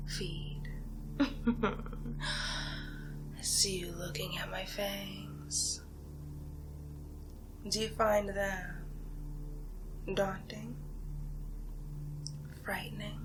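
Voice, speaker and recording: A woman playing an ancient, seductive female vampire in a whispered ASMR roleplay, taunting her prey in a breathy, theatrical way with drawn-out pauses. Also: mid-range voice. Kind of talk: ASMR roleplay monologue, soft-spoken and whispered. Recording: music behind the voice. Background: music.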